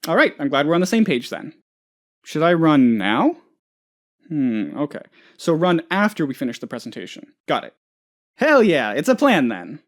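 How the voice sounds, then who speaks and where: Some guy doing a nervy clueless boss dude from a game, kinda high, very up and down, rambles off track. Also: sing-song, normal speed. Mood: earnest, hesitant. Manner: rambling, absent-minded, easily sidetracked. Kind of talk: video game character voice lines.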